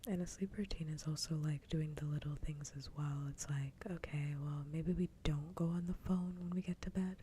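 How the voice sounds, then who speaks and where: A woman chatting casually in a soft, breathy whisper, close to the microphone in ASMR style. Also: fairly even intonation, normal speed, few pauses. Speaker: livestreamer, ASMR host. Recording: faint low rumble noise.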